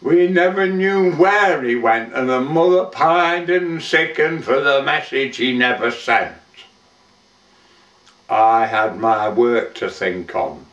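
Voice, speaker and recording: A man's voice: medium pitch, a bit bright. A man playing a weathered old lifeboatman, reciting a sorrowful dramatic monologue in folksy, plain-spoken verse. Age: older. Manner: measured, folksy storytelling with rustic dialect. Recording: roomy, dull, distant microphone.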